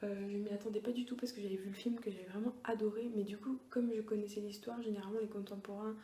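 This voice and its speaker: Young woman vlogger, quiet half-whisper, hesitant, mellow and even, roomy sound. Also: medium pitch, quick pace, a little hiss or hum. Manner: hushed, often half-whispered, hesitant with many fillers. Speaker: book vlogger. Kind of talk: casual reading-challenge vlog diary. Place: at home at night.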